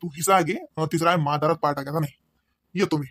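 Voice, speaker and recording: A man's voice: fairly high pitch, fairly even intonation, quick pace, a bit bright, no echo. A man playing a proud, angry village husband in a comedy cartoon, scolding in a Varhadi Marathi accent. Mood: stern, defiant. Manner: scolding, firing off rhetorical questions. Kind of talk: amateur comedy cartoon dialogue.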